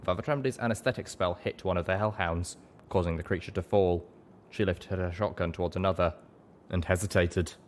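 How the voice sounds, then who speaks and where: A man's voice: sits low, brisk, slight background noise. A man reading a story aloud as scripted, dramatic narration. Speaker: audiobook narrator.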